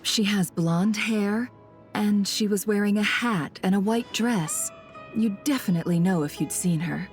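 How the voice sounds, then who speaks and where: A woman's voice: mid-range voice, unhurried, lightly acted. A woman playing a shrewd, composed traveler in a video game, speaking politely and with calculation. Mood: earnest, explaining. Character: shrewd woman traveler posing as antiques smuggler. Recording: music under the voice.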